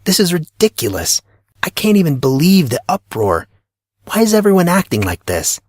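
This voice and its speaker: An earnest, stubborn teenage boy played by a male narrator in a storybook reading, pitched well up from a man's natural voice. He protests with baffled, halting incredulity, and the sound is close and dry. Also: huge pitch swings, a little mellow, no room sound. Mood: exasperated, baffled. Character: exasperated, earnest teenage schoolboy, naive and stubborn.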